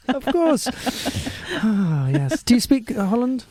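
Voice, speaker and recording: A man's voice: very high voice, very animated, slow pace, warm tone, a little hiss or hum. A man hosting a radio show in a studio, chatting casually with his guests, laughing often and restarting his sentences. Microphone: studio microphone.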